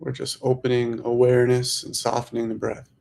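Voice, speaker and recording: A man leading a guided meditation as a teacher, speaking slowly and softly in short phrases with long pauses. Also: mid-range voice, monotone, vocal fry. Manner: slow, hushed, long pauses between phrases.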